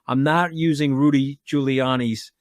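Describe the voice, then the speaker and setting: A man's voice: mid-range voice, steady tone, unhurried, dry recording, clean studio sound. A man in his sixties hosting a podcast interview, brash and teasing in casual chat. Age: 60s. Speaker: podcast host.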